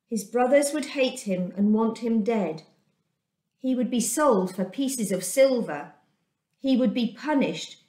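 A woman in her fifties reading a children's storybook aloud in a deliberate, expressive way, recorded indoors in a room at home. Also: a little high. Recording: echoey domestic room.

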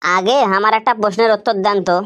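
A woman's voice: medium pitch, steady tone, fast, fairly bright tone, smooth, no room sound, lightly acted. A woman with a Rangpur Bangladeshi accent voicing a sly, argumentative shopkeeper in a comic cartoon, talking like a mock salesman. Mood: sly salesmanship. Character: sly, vengeful shopkeeper with absurd offers.

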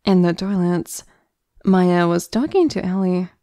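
A woman's voice: lowish voice, a little slow, dark tone, no echo, clean studio sound. A woman narrating a scripted story for an audio drama.

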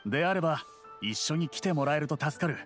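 A man voices a young city guard from a video game, stern and dutiful. The voice is fairly high and animated, official in tone, over faint music. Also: brisk, close to an everyday voice. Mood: businesslike. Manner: official, firm. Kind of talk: Japanese game voice acting.

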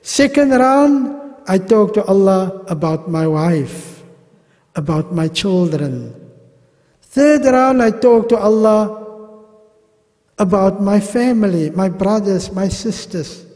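A middle-aged South African man teaching a pilgrimage class at a mosque podium, animated and gesturing, with humorous asides. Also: sits high, slow. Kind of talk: religious class lecture. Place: large mosque hall. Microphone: gooseneck podium mic. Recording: long reverb of a mosque hall.

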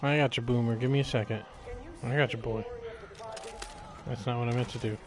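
A man's voice: mid-range voice, fairly even intonation, fairly quick. A man giving casual, sweary video game commentary, often playfully repeating himself in a sing-song way. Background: video game music and sounds.

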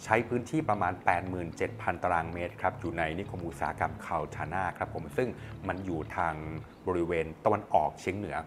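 A man's voice: low-pitched, quick pace, few pauses, noisy background. A man, presenting a news report in a conversational, explanatory way on a lapel mic in a studio. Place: small indoor studio at a desk. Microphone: clip-on lapel mic. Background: background music.

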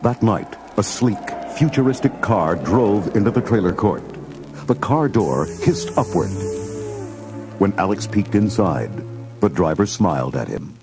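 Guy narrating a kids read-along story, dramatic, lots of pitch movement, music behind. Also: lively intonation, unhurried.

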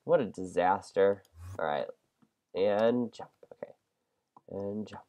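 A young man with a head cold and a congested voice, hosting a casual game let's-play, excitable and self-mocking. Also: on the low side, slow pace, highs cut off. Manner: excitable, self-deprecating, shrieks at mistakes. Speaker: gaming channel host.

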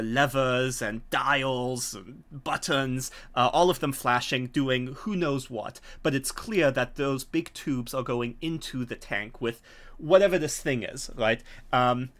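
A middle-aged man runs a horror roleplaying game from a room at home. He describes scenes deliberately, with pauses, in a medium-pitched voice. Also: a bit bright. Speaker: game master. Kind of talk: narrating a tabletop horror game. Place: home room on a video call.

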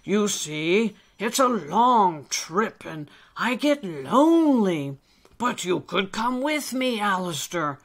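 A woman storyteller plays a kindly old elf man, big-hearted and jolly. The delivery is hearty and stretched right out, with gravel in the voice and lively swings of pitch. It belongs in a children's Christmas storybook. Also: slow pace, heavily acted. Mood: jolly, inviting.

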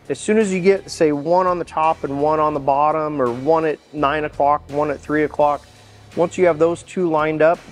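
Male, middle-aged, North American accent, slightly high voice, explaining a practical job step by step over music. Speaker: mechanic instructor. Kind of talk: informal hands-on how-to instruction. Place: large indoor workshop.

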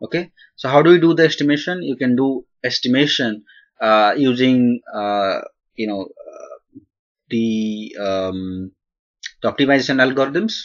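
A man's voice: mid-range voice, measured pace. Young Indian male lecturer, mid-range voice, hesitant and filler-heavy, muffled home-recorded audio. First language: not English. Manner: hesitant, many "uh" fillers and restarts. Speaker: analytics instructor. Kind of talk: recorded Q&A lecture over slides.

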